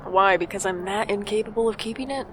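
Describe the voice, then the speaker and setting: A woman's voice: mid-range voice, fast talker, background noise, natural voice. A woman playing an anxious, sardonic older sibling in an audio drama, with a dry, wry, self-deprecating way of talking. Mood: prickly, teasing. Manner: dry, wry, theatrical sarcasm. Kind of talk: audio drama acting with first-person narration.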